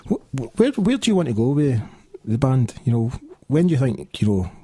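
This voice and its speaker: Fast-talking Scottish radio host. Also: male voice, medium pitch, sing-song, dark tone, slightly rough, no echo. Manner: fast, chatty, lots of fillers. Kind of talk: casual radio chat. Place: radio studio.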